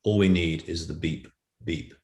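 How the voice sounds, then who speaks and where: A man with a very low voice talks as an interview guest in an echoey room. He explains his creative work through anecdotes, in a conversational storytelling way. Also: fairly even intonation, measured pace, a little mellow. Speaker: writer and director, interview guest.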